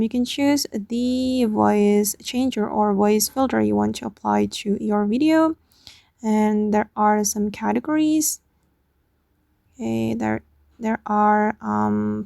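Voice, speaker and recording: A woman with a fairly high, noticeably creaky voice talks through a how-to tutorial as its host. The delivery is casual and step by step, and the sound is dry and close. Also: a little mellow, dry recording.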